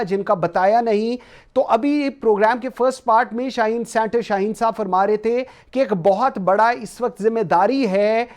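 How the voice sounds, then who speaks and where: A man's voice: very high-pitched, a little mellow. A man with a Pakistani accent hosting a political discussion show, mixing English phrases into his speech. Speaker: talk show host.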